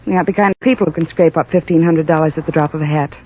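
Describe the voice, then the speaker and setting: A woman's voice: low-pitched, fairly even intonation, racing, a little hiss or hum, close to an everyday voice. An actress playing a proud, desperate young former hat check girl in a 1950s radio drama, earnest and pleading. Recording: muffled old radio recording.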